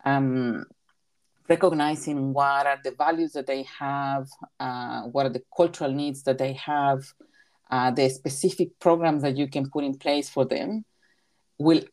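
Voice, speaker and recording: Low-voiced woman with a Mexican accent. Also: fairly even intonation, unhurried, a little mellow. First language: Spanish. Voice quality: emphatic, repeats key phrases. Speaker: recreation therapy leader. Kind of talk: interview answers.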